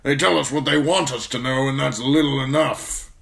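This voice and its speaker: A man voicing a gruff, irritable old lord commander in a fantasy audiobook, grumbling bluntly with grim, weary disgust. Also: mid-range voice, fairly even intonation, normal speed, a bit bright, pitched above the performer's own voice. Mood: bitter.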